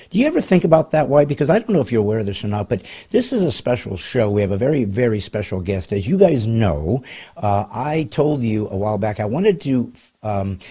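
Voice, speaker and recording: A middle-aged man with a husky voice runs his comedy podcast in a wisecracking, theatrical way. His pitch leaps around as he plays up each bit, and he drops into sarcastic asides. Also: mellow.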